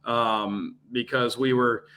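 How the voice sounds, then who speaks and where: Male broadcaster guest, bright voice, easygoing rambling chat. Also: medium pitch, slow. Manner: relaxed, rambling, many restarts and fillers. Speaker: radio play-by-play announcer, guest. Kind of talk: casual podcast chat.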